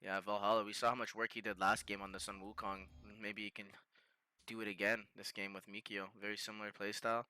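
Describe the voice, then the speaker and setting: A man commentating a live esports match with excitable play-by-play. He is a little sick and sniffling. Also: quick pace, smooth, no room sound. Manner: excitable play-by-play, rises high in fights. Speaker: esports caster. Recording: noisy live stream mix.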